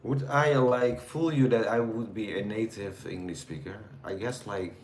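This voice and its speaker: A man from the Netherlands with a Dutch accent, chatting casually with viewers on a livestream in a relaxed, rambling way while reading their comments. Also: lowish voice, normal speed, roomy sound, slight background noise. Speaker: livestream host. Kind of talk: casual live chat answering viewers.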